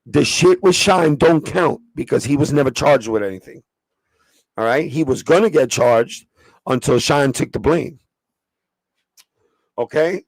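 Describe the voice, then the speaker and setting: A man with a raspy voice, hosting a casual livestream and breaking things down step by step in a streetwise, profane way. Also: a little high, no room sound, low-quality recording. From New York. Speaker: livestream host.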